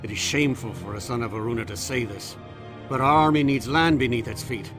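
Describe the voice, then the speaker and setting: A man's voice: mid-range voice, fairly quick, music in the background, natural voice. Proud, theatrical naval commodore, acted by a man. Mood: grim, frustrated. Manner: formal, theatrical military declamation. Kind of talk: dramatic game cutscene monologue.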